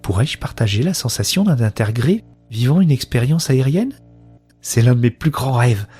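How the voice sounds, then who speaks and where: A man's voice: medium pitch, darkish tone, husky. A man playing a wonderstruck woman who narrates a science-fiction audio drama in the first person, in earnest short phrases. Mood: eager, determined. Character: wonderstruck woman newly linked to a shared consciousness.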